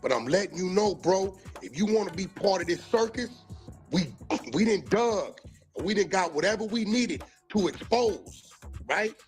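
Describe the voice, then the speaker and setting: American male, raised pitch, emphatic street-slang monologue calling people out, music underneath. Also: animated, normal speed, darkish tone.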